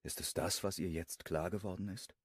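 A man reading a romance audiobook in the first person, calm and introspective. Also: sits low, normal speed, breathy edge, no echo, clean studio sound. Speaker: audiobook narrator.

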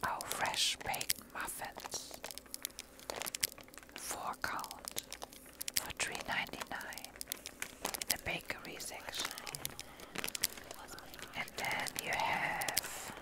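Slow whispered ASMR with a German accent. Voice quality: breathy, unvoiced whisper. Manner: slow, halting, rambling. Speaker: ASMR creator. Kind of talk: whispered ASMR show and tell.

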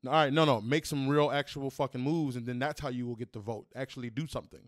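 A man's voice: mid-range voice, fairly even intonation, quick pace, dry recording, clean studio sound. A man on a podcast, rambling loosely about his opinions in slangy speech. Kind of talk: casual political chat.

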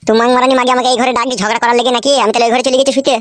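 A woman's voice: on the high side, level tone, racing, no echo, studio quality, natural voice. A woman voicing a crude, quarrelsome village husband in a comic cartoon, scolding and swearing. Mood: defiant, scolding.

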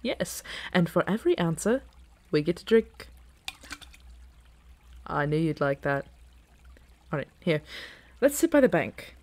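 Slow-paced, playful dragon royal played by a woman. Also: low voice, very animated, darkish tone, heavily acted. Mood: playful, curious. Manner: casual, teasing, halting, conversational. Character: earnest, playful young female dragon royal in human form. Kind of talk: fantasy ASMR roleplay acting.

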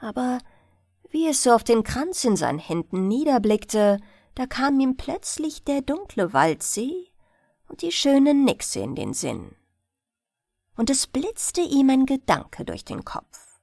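A woman's voice: high-pitched, sing-song, slow, gravelly, darkish tone, no echo, clean studio sound. A woman narrating a fairy tale as a bedtime audiobook.

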